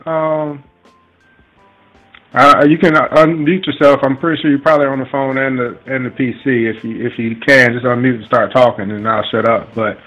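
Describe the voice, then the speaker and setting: Guy hosting a group call, mid-range voice, laid out casual, kinda halting. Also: mid-range voice, fairly even intonation, bright, forceful tone, dull audio, soft background music. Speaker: host of an investing conference call. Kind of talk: casual hosting, informal chat.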